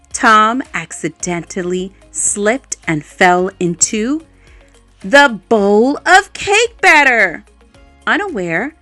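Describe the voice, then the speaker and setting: A woman reading a children's story aloud, expressive and adding playful little asides to her listeners. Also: a little high, no echo. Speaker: storybook reader. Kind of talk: bedtime story read-aloud. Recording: faint background music.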